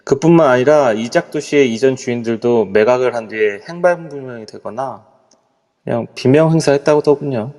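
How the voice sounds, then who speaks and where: A man's voice: medium pitch, brisk, darkish tone, big-room sound, natural voice. A man voicing a nervous, polite middle-aged hotel executive who hesitates and trails off as he explains. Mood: uneasy. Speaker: voice actor in a live amateur audio drama.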